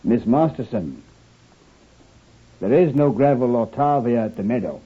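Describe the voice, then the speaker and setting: A man's voice: mid-range voice, animated, a little slow, slightly rough, narrow, tinny audio. A man playing a dutiful police detective reporting formally to his superior in an old-time radio crime drama. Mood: dutiful, matter-of-fact.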